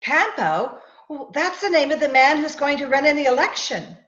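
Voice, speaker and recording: Radio drama ingenue female actor: earnest, curious, very lively intonation, echoey muffled recording. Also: sits high, normal speed. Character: Susie, earnest, inquisitive young female companion. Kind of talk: old-time radio drama acting. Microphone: video call. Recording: roomy, dull video-call audio.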